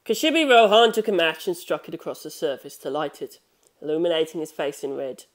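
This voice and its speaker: A woman's voice: low-pitched, expressive, a little fast. A woman reading scripted novel narration aloud in the manner of an audiobook. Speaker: audiobook reader.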